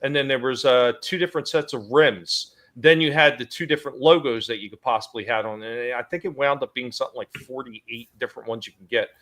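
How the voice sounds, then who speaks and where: A man's voice: medium pitch, steady tone, a little fast, hardly any pauses, a bit bright. Man explaining toy history in detail. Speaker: host of a toy collecting show.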